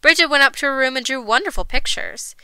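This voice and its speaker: A woman reading a children's storybook aloud as a storyteller, expressive and emphatic. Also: high voice, quick pace, smooth, no echo. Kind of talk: animated storybook read-aloud.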